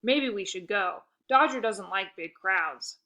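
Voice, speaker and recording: A timid, bespectacled little man from a storybook, as a woman reader puts him on. He sounds hesitant and fretful, with a lively, bright voice. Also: medium pitch, measured pace. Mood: nervous. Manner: hesitant, blubbering. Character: nervous short bald man with thick glasses.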